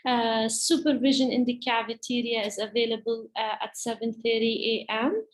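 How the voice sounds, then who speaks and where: A woman whose first language is Arabic speaks with an Arabic accent as a teacher presenting to parents, in a halting, hesitant way. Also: on the high side, level tone. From Palestine.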